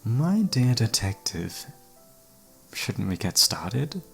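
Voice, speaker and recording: A man's voice: mid-range voice, very animated, slow, gravelly, soft background music, heavily acted. A man playing an obsessive, flamboyant serial killer in an ASMR audio drama, flirtatious and menacing, with a taunting, mock-polite manner. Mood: sinister courtesy.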